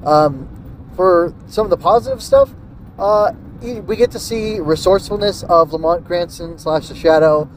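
A man's voice: high voice, sing-song, normal speed, slight background noise. A man giving a casual solo podcast review, rambling and hesitant as he thinks aloud. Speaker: podcast host reviewing an old radio drama.

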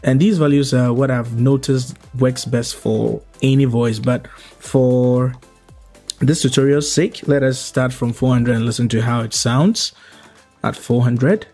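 A young man hosts a tutorial in a mid-pitched voice. He explains things step by step in a measured manner. Soft music plays underneath. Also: fairly even intonation. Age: young adult. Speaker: host of a video editing tutorial. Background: background music.

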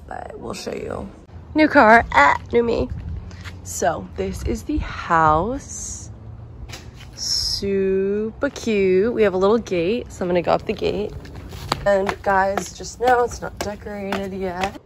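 A bubbly young woman walks through an empty house, chatting casually as she tours each room. Her voice sits at an ordinary middle pitch. It is picked up on a phone held at arm's length, with a faint hiss underneath. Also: takes it slowly, vocal fry. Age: young adult. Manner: chatty, bubbly, heavy use of "like". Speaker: vlogger. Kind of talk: casual house-tour vlog.

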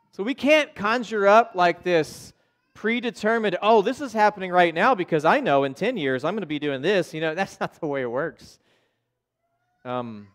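A man's voice: a little high, smooth. An American man preaching as a pastor in an informal, conversational way, often checking in with his listeners. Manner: informal, checks in with listeners using "okay?" and "right?". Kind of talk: conversational sermon. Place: church sanctuary in front of a congregation.

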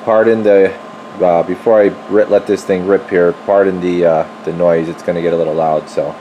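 Low-pitched man casually demonstrating in noisy workshop. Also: moderate pace, dull audio. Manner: informal, folksy, talking while working. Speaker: radio repair technician presenting his work. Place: indoor electronics workshop. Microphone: handheld camera microphone. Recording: steady loud equipment hum and noise.

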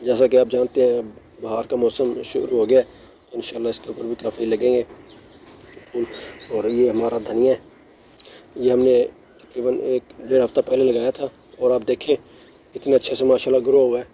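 A middle-aged man giving a relaxed, chatty tour of his rooftop garden, mixing English words into his speech, recorded outdoors on a phone. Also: medium pitch, normal speed, a little hiss or hum. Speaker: gardening vlogger. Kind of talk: casual show-and-tell narration.

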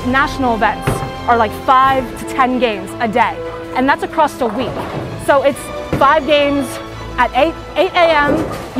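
A teenage girl talking casually about bowling in an upbeat, chatty way with lots of fillers, interviewed in a bowling alley. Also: sits high. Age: teens. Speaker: high school bowler. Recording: music playing underneath.

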